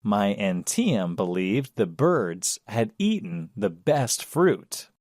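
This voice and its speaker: A man narrating a children's story in a measured, expressive storytelling manner. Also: fairly low pitch.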